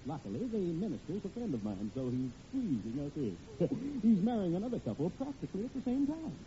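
A man's voice: high-pitched, big pitch swings, fairly quick, warm tone. An actor playing a hearty, overbearing middle-aged American father of the bride in 1940s radio comedy style. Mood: smugly pleased. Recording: muffled, faintly noisy old radio recording.

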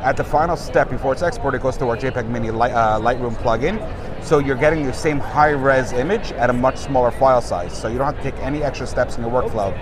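Male software rep, mid-range voice, explaining his product against busy crowd noise. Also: medium pitch, quick pace. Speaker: software company representative. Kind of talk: unscripted product pitch in an interview. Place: crowded conference show floor. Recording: busy trade show crowd.

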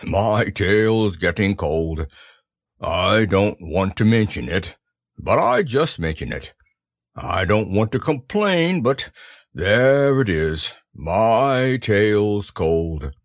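Man acting a very slow, gloomy low-voiced donkey. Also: lively intonation, no echo, studio quality. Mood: grumbling, gloomy. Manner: slow, mournful, drawn-out delivery. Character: gloomy, grumbling, self-pitying male donkey.

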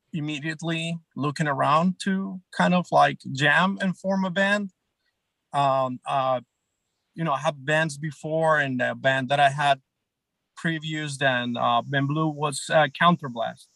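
A man's voice: on the high side, slow pace, vocal fry, fairly bright tone, dry recording, low-quality recording. A man, chatting loosely as a band guest and checking in with "right?" as he goes. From Colombia. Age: 30s. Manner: rambling, many restarts and "right?" checks. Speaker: band guitarist and songwriter guest. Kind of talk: casual interview chat.

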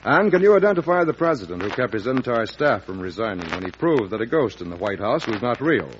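A man's voice: mid-range voice, big pitch swings, fast. A man announcing a vintage radio show from a script in a formal, declamatory manner. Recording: muffled, faintly noisy old radio recording.

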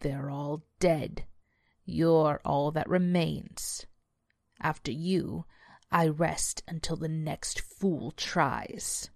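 A woman voicing a massive demonic devil dog from a horror story, guttural and deliberate, menacing yet loyal. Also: deep voice, fairly even intonation, vocal fry, a little mellow, dry recording, clean studio sound, exaggerated voice. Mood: cold sneering. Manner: slow, deliberate menacing delivery.

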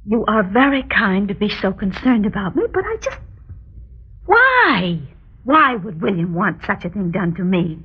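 An actress playing a proper, stubbornly disbelieving wife in an old-time radio drama, with a slightly husky voice and a polite, clipped, defensive manner. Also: female voice, mid-range voice, sing-song, unhurried, exaggerated voice. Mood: shaken, disbelieving. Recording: dull, lo-fi vintage radio recording.

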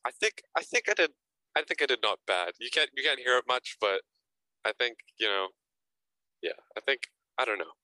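A man's voice: mid-range voice, expressive, normal speed, sharp tone, no echo, poor audio. A young man being interviewed as an actor guest, answering casually and hesitantly, often repeating words and adding fillers.

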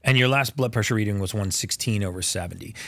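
A man hosting a podcast interview, casual and energetic, pressing home facts with emphasis. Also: on the low side, fast talker, gravelly, dry recording. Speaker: podcast host. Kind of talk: conversational interview.

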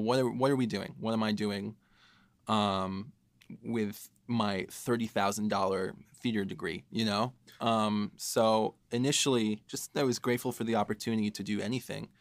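A man's voice: on the low side, dry recording. A young man chatting casually and reflectively, informal, often breaking off and starting his thought again. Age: young adult. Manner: halting, self-interrupting, informal. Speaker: podcast co-host, writer.

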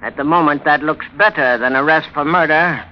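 A cool, threatening gangster played by a man with a slightly high voice, acted in 1940s radio crime style. The old broadcast is muffled. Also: a little fast, a little hiss or hum, lightly acted. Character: cool, menacing male crook holding a gun.

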